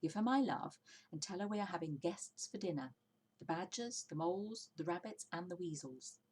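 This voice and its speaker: Woman playing a brisk, jolly bossy father fox, husky. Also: lowish voice, animated, a little mellow. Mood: brisk, affectionate. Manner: exclamatory, commanding, persuasive. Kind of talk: children's storybook character reading.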